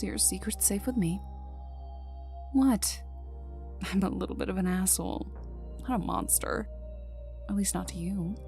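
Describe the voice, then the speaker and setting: A woman acts out a young female villain enforcer in an ASMR audio drama, speaking close to the listener. Her voice has a creaky edge and ambles along, slipping into whispers. She is cocky and teasing, with a gruff protective streak, over ambient music. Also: medium pitch, mellow. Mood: teasing reassurance. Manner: teasing, smirking, casual drawl lapsing into whispers.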